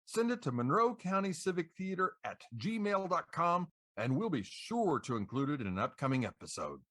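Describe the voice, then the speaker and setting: Lively, higher-voiced American male host. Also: moderate pace, no echo, studio quality. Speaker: podcast co-host. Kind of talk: scripted playful radio DJ banter and introductions.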